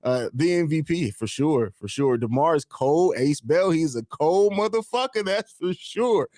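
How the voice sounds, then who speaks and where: Man, hoarse and raspy, fairly high voice, excited fan stream host, emphatic, repetitive, dry close sound. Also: measured pace, dry recording. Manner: emphatic and repetitive, casual swearing. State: hoarse from screaming.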